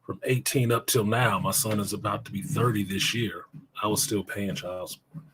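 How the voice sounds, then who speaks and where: A man's voice: fairly low pitch, fairly even intonation, normal speed, noisy background. A middle-aged man speaking as an interview guest, telling his own story in a blunt, plainspoken way and cursing now and then.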